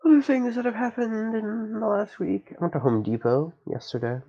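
A man's voice: high-pitched, normal speed, vocal fry, warm tone, lo-fi sound. A young man with a stuffy nose, chatting casually and rambling with lots of fillers as a podcast host. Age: young adult. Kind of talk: casual solo chat.

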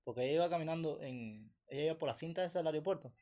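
A man's voice: a little high, very fast, mellow. A man with a Cuban accent hosting a podcast in an informal, slangy, chatty way. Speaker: podcast host. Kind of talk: casual chat.

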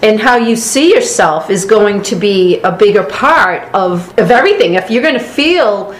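A woman's voice: mid-range voice, animated, moderate pace. A woman with a Boston accent, co-hosting a podcast and musing through a book in casual discussion, with restarts and asides. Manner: thoughtful, talks in restarts and asides. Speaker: podcast co-host. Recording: echoey room with faint noise.

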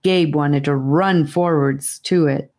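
Low-pitched woman narrating a children's story. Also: steady tone, slow pace, a little mellow. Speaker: audiobook narrator.

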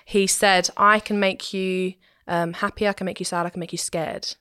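A woman's voice: medium pitch, little pitch movement, normal speed, pushed and bright, clear, resonant tone, no room sound, studio quality. A woman speaking conversationally as an interview guest, explaining things in a thoughtful way. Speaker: interview guest, church musician and singer.

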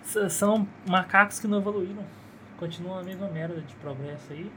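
A man's voice: high voice, a little fast. Brazilian man rambling cynically into a phone. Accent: Brazilian Portuguese. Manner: rambling, cynical and profane, with self-mocking laughs. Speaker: podcast host. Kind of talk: casual solo podcast monologue. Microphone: phone held in one hand. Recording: noisy phone recording.

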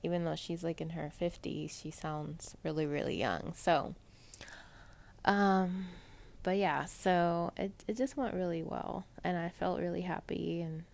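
Soft-voiced chatty young woman, gravelly. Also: fairly low pitch, fairly even intonation, measured pace. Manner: chatty, rambling, many fillers. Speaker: podcast host. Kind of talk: casual personal monologue.

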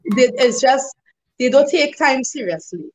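A young Trinidadian woman with a high voice talks easily as a guest on an interview show. She laughs often and keeps dropping "like" and "you know" as she explains things. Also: lively intonation, moderate pace, rough recording. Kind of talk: casual interview chat.

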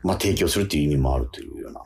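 A man's voice: very deep voice, lively intonation, very fast, creaky, a little mellow. A man hosting a radio talk show in a studio, chatting casually with many fillers and easy nods.

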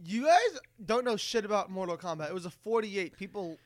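A man co-hosting a casual comedy podcast, excitable and stammering, often repeating his words. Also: high voice, brisk, no room sound, clean studio sound. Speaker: podcast co-host.

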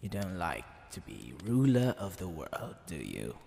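A man voicing a smug, flirtatious demon king in a theatrical ASMR roleplay, teasing the listener, with creaky tones that drop into breathy whispers. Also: sits low, very animated, dark tone, heavily acted. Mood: sly coaxing. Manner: theatrical, drawn-out, teasing delivery. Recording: long hall reverb, background hiss and ambience.

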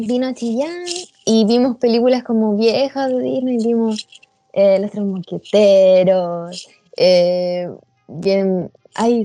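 A woman's voice: a little high, no echo. A woman with a Chilean accent, a podcast guest chatting casually and laughing easily. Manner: laughs easily, relaxed slang. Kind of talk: casual chat.

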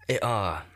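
A man in his twenties with a blocked, congested nose, rambling self-mockingly and swearing as he hosts a solo podcast from a room in a shared house. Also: a bit bright. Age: 20s. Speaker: podcast host. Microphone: desk microphone.

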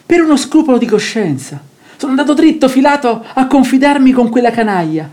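A man's voice: very high voice, big pitch swings, a little fast, darkish tone. An actor playing a gullible, middle-aged master of the house in a radio drama, declaiming his grievances as a betrayed father. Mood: anxious, dismayed. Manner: declamatory, aggrieved. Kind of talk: theatrical radio drama acting.

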